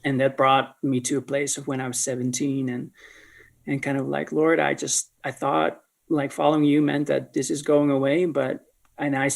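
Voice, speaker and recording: A man from the Netherlands, telling a personal story as an interview guest in a halting, think-aloud way. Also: mid-range voice, level tone, creaky. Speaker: podcast guest, ministry leader.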